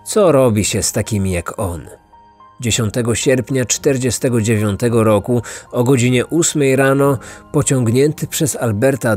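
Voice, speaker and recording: A man narrates a true crime story as a podcast host. His voice sits at an average male pitch and follows a script. Music plays behind him. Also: darkish tone.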